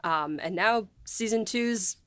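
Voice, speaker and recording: A woman's voice: mid-range voice, slow pace, a bit bright, no echo. A woman hosting a podcast in casual, unscripted chat with an informal manner. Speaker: podcast host.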